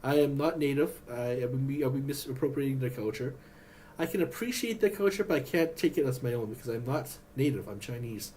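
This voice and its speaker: A man vlogging in a rambling, halting way, with many restarts and fillers. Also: medium pitch, expressive, a little mellow, a little hiss or hum. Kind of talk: rambling personal vlog.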